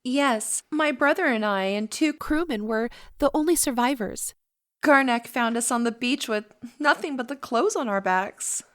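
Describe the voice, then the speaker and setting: A woman plays a polite, reserved sister in an audio drama. Her voice is high, and she speaks with composure and a gently chiding manner. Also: moderate pace, natural voice.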